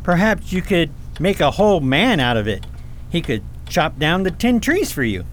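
Radio fairy tale: curious, eager young prince played by a man, courteous, lively intonation. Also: on the high side, normal speed, a little hiss or hum. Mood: friendly, shy.

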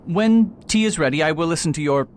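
A man's voice: a little high, a little fast, close to an everyday voice. A man playing a proud, faded detective who talks pompously and hesitates with filler sounds, in an amateur radio drama. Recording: noisy recording with steady hiss.

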